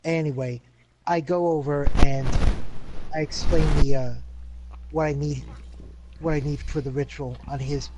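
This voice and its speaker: A man taking part as a player in a tabletop game, relaxed and brief in his replies. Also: a little high, measured pace, long pauses, heavy background noise. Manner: brief agreeing replies, laid-back. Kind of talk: casual tabletop game chat.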